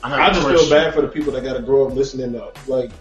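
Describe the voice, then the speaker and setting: A man's voice: medium pitch, quick pace, far from the mic, faint music behind. A man in casual podcast banter, argumentative and joking, swearing freely. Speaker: podcast co-host. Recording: roomy, away from the mic.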